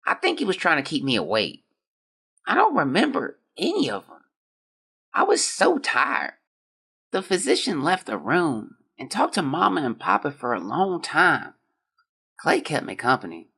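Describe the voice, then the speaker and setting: An actor playing a gravely ill, weary young boy who haltingly reads out his diary in an audio drama. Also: mid-range voice, sharp tone, exaggerated voice. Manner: weak, halting, drifting. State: feverish and exhausted.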